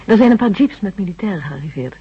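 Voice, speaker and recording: An actress playing a composed brain specialist chatting with a companion in a radio drama. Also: female voice, mid-range voice, lively intonation, fast, breathy edge. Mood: inquisitive. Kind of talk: conversational radio drama acting. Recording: dull vintage 1970s radio recording.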